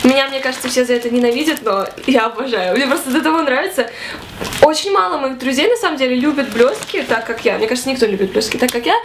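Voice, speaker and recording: A young woman in her twenties vlogging casually to camera in her small bedroom, chatty and animated. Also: high voice, fast talker, echoey room, background noise. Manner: chatty and animated, gesturing a lot. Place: small bedroom with fairy lights.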